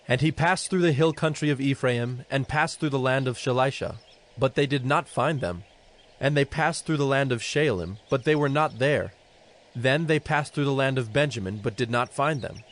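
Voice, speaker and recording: A man's voice: medium pitch, moderate pace. A man narrating a dramatized scripture reading from a script.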